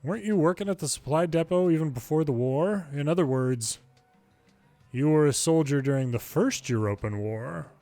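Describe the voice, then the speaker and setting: A man's voice: fairly high pitch, moderate pace, warm tone, no room sound, faint music behind. A man reading a video game's story dialogue aloud in English, as a longplay narrator.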